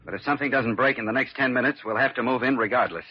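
Fast, husky police lieutenant played by a man. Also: medium pitch, close to an everyday voice. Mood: businesslike. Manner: businesslike, conciliatory. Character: brisk, reasonable male police lieutenant. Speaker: radio drama actor. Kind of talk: classic radio drama acting. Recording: dry, dull vintage radio recording.

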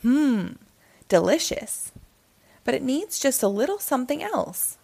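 A woman voicing an eager, boastful, easily flustered male cat in a children's storybook, in an excitable, dramatic manner. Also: sits high, unhurried, creaky, voice pushed up, heavily acted. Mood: excited, boastful. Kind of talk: animated children's storybook character reading.

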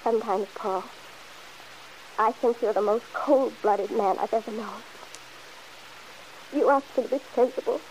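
A woman's voice: a little high, unhurried, pauses often, a little mellow, rough recording. An actress playing a fretful, guilt-torn young mother in an old-time radio soap opera, hesitant and pleading. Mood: bitter, tearful. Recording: hissy vintage radio broadcast recording.

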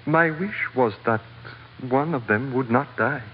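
An actor playing a callous, self-pitying sultan in a radio drama, speaking in formal, pompous and mournful phrases. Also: male voice, medium pitch, measured pace, natural voice. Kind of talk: 1940s radio acting. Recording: muffled old radio recording, hollow reverb, hiss.

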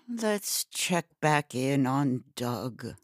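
A woman's voice: sits low, big pitch swings, drawn-out words, no room sound, clean studio sound, heavily acted. A woman playing a sulking, apologetic storyteller in a fantasy audio drama, subdued and despondent.

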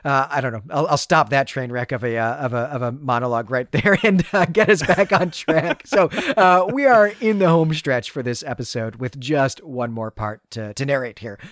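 A man's voice: medium pitch, normal speed, hardly any pauses, fairly bright tone, no echo, studio quality. Chatty man recapping a story. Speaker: podcast host.

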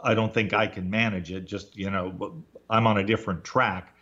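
A man's voice: fairly low pitch, fairly even intonation, moderate pace, fairly bright tone. A man hosting a radio interview, asking questions in a thoughtful, meandering way. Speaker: radio show host. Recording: dull lo-fi radio audio.

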